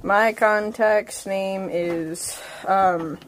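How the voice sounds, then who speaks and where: A woman's voice: medium pitch, fairly even intonation, drawn-out words, heavy background noise. A woman chatting casually and playfully as a player in a tabletop game, telling what her character does.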